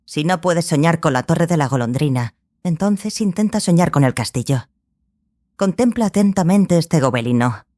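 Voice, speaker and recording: A woman playing a composed, stern sorceress who instructs her pupil in a fantasy audiobook, measured and authoritative. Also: sits low, expressive, mellow, no room sound, studio quality. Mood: commanding.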